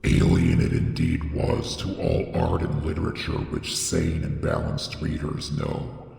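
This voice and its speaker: Very deep, gravelly man narrating gothic horror. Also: measured pace, darkish tone. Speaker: narrator reading a horror story. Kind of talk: scripted dramatic horror audiobook narration. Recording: echoey, long reverb on the voice.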